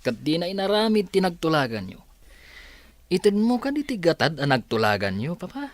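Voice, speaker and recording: A man's voice: on the high side, sing-song, normal speed. A man playing an evasive, self-justifying son in an Ilocano radio drama, with a hesitant delivery. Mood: hesitant explaining.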